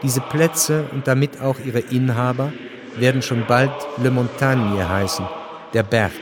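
Measured male radio drama narrator, mid-pitched. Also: steady tone, crowd noise.

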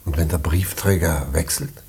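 An actor playing a stern prison director in a German radio play, officious, curt and skeptical, with formal bureaucratic phrasing and a husky voice. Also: male voice, low voice, moderate pace, a little mellow, exaggerated voice. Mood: skeptical, probing.

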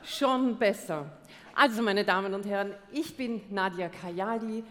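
A woman with a Viennese accent trades teasing, theatrical banter from a concert stage. Her mid-pitched voice carries the long reverb of a large hall, and she sounds playfully indignant. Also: measured pace, fairly bright tone. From Austria. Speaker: co-presenter. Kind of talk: comedic carnival stage banter.

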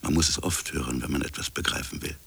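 A reflective colonial official, speaks in a very low, creaky voice with a husky grain. He tells his story as an intimate radio-drama monologue, in short musing fragments broken by pauses. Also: fairly even intonation, fairly bright tone, exaggerated voice. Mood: brooding, reflective. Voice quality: gravelly, husky. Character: reflective French colonial official recounting a buried affair. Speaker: narrator and protagonist.

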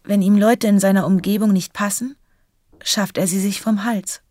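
An actress playing a sardonic observer, narrating dryly in a radio drama. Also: female voice, medium pitch, steady tone, normal speed, a little mellow, no echo, close to an everyday voice. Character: sardonic female observer recounting a writer's habits. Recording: faint noise with clicks.

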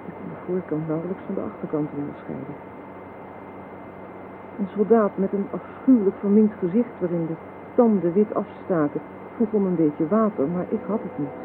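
A performer playing a solemn survivor of a bombing, giving grave, measured testimony in a radio play. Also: medium pitch, close to an everyday voice. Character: solemn eyewitness survivor recalling horrific bombing. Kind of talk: dramatised testimony monologue. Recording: noisy, tinny 1970s radio play recording.

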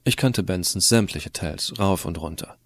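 Man as teenage storyteller, lowish voice, very dry sound, cocky, sardonic, colloquial, first-person audio drama narration. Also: normal speed, vocal fry, no echo. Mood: wry, confiding. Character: cocky, irreverent teenage schoolboy narrating his own story.

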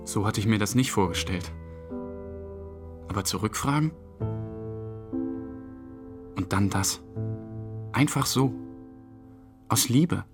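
A man's voice: fairly low pitch, lively intonation, takes it slowly, long pauses, music in the background. An actor playing a shy, awkward young man in a radio play, speaking in an understated, hesitant way. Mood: bewildered. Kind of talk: naturalistic radio play acting, interview-like narration.

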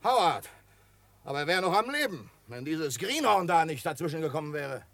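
An actor playing a brash, spiteful frontier bully in a German radio drama, sharp and sneering in manner. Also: male voice, fairly high pitch, sing-song, normal speed, no echo, exaggerated voice. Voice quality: gravelly, sharp. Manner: sneering, aggressive.

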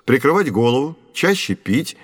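A man playing a knowledgeable, calm guide who instructs children in a scripted educational audio drama. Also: mid-range voice, moderate pace, husky, no echo, clean studio sound. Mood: calm, explaining. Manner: didactic, instructive.

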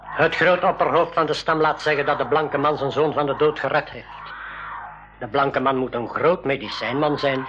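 A male actor as a solemn jungle medicine man in an old school radio play. He speaks in a stately, ceremonious way at a medium pitch. The recording is somewhat muffled. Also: moderate pace, a bit bright, close to an everyday voice. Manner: stately, ceremonious, speaks of himself in third person.

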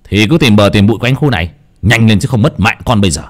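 A man voicing a gruff older village exorcist who talks low, curt and commanding. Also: lively intonation, fast. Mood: stern.

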